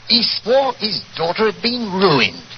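An actor playing a deferential, plain-spoken police inspector, in 1940s radio style. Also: male voice, sits high, wildly swooping pitch, unhurried, highs cut off, full character voice. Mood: deferential, pleased. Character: deferential, grateful male Scotland Yard police inspector. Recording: noisy, band-limited 1940s radio recording.

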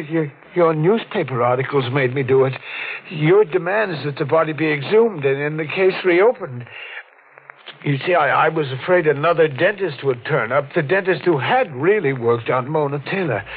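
A high-pitched, stammering, cowardly dentist. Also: male voice, expressive, moderate pace. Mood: frightened confession. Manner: stammering, hesitant, flustered. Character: nervous, cowardly, guilty male dentist under interrogation. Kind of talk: 1940s radio thriller acting. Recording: hissy, narrow old radio recording.

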